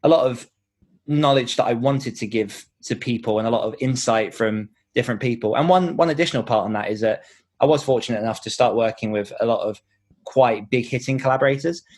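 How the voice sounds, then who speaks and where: Man, podcast interview, earnest, explanatory, conversational, mid-pitched voice. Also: brisk, a little mellow. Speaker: podcast host and author being interviewed.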